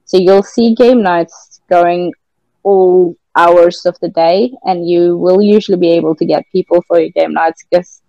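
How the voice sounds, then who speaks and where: A Norwegian woman hosts in chatty English with a British lilt and a Norwegian tinge. Her voice sits low for a woman. The sound is dry and close. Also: steady tone, measured pace, creaky, dark tone, no room sound, lo-fi sound. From Norway. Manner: chatty, filler-heavy. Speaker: podcast host. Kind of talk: casual chat.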